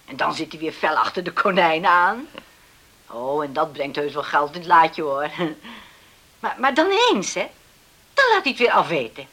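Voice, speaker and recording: A woman's voice: sits low, very animated, moderate pace, long pauses, sharp tone, heavily acted. A woman playing a worried, middle-aged widowed farm mother in a Dutch radio drama, earnest and confiding. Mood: agitated. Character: worried widowed farm mother dreaming of settled married sons.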